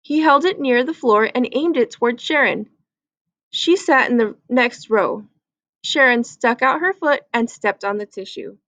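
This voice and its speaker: A woman's voice: on the high side, no room sound. A woman reading a children's book aloud as a storyteller.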